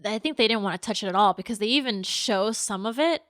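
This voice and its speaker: A woman's voice: mid-range voice, fast, a bit bright, no echo, clean studio sound. A woman co-hosting a podcast, casually discussing films and thinking aloud with tentative, hedged points. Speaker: podcast co-host. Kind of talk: casual film discussion chat.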